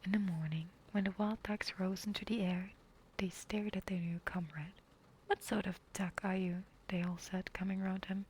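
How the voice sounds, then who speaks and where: A woman reading a fairy tale aloud in a soft whisper, pausing now and then to apologize. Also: medium pitch, flat delivery, slight background noise. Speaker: reader.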